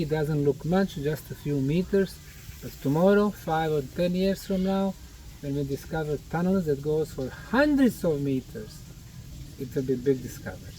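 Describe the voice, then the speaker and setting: A man giving an emphatic, didactic explanatory talk to volunteers in short, deliberate phrases. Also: fairly high pitch, a little mellow. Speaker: research project leader addressing student volunteers. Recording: noisy outdoor recording, distant microphone.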